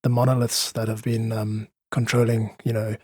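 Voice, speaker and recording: A man speaking as an interview guest, explaining his views in an articulate, thoughtful way with a husky voice. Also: fairly low pitch, level tone, moderate pace, darkish tone, no room sound, studio quality. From South Africa. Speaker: podcast guest, independent musician.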